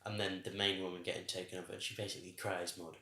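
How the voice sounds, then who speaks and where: A man co-hosting a casual review podcast, enthusiastic and quick to laugh. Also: low voice, little pitch movement, sharp tone. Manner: enthusiastic, laughs often, acts out reactions. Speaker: podcast co-host. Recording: echoey room.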